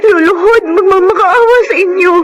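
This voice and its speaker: Desperate young Filipino mother, radio drama, high voice, remorseful, heavy music underneath. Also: normal speed. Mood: anguished, sobbing. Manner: pleading, tearful. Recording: loud dramatic music behind.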